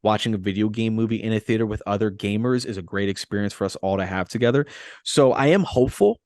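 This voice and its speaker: Lower-voiced man in a dry, close recording. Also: fast, a little mellow, no echo. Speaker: podcast co-host. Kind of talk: casual movie discussion.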